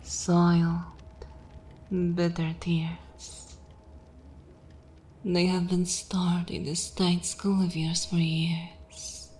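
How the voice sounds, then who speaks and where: A woman's voice: lowish voice, flat delivery, a little mellow. A woman voicing a seductive, imperious vampire mistress in an ASMR fantasy roleplay, commanding and coldly mocking, sometimes dropping into a whisper. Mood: mock-tender, ominous. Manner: slow, commanding, theatrical, breathy whispering at times.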